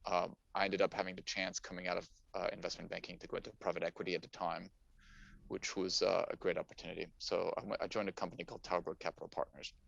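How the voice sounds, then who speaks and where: A man's voice: low voice, flat delivery, quick pace, creaky, a bit bright, no room sound. A middle-aged man from Paris, a native French speaker, giving casual, story-like answers as an interview guest. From Paris, France. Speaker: podcast guest, finance professional. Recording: dull, muffled lo-fi audio.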